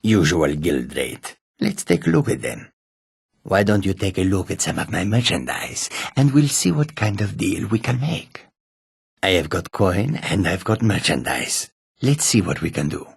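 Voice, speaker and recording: Gruff male cat-folk trader-warrior, raspy, lively swooping intonation, shrewd, theatrical, fantasy game. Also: sits low, a little slow, no echo, clean studio sound, exaggerated voice. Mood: sly, bargaining. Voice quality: husky, rasping. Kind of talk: scripted fantasy video game voice lines.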